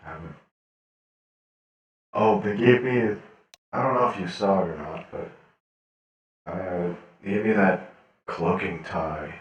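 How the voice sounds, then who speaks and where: Man, deep voice, heavy echo, distant from mic, hesitant game roleplayer. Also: takes it slowly, pauses often, muffled recording. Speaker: roleplayer acting as a cyborg prisoner. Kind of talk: improvised in-character roleplay chat in an online game. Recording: very echoey, distant voice chat.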